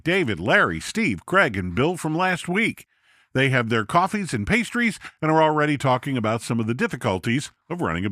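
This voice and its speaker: A man narrating a scripted story for a podcast in a steady, measured way. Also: mid-range voice, dry recording, studio quality.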